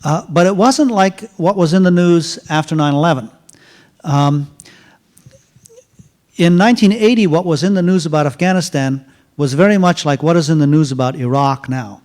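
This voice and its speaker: A middle-aged man telling a story casually at a university event, halting with many fillers and restarts. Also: on the high side, a little mellow. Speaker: author speaking at a university event.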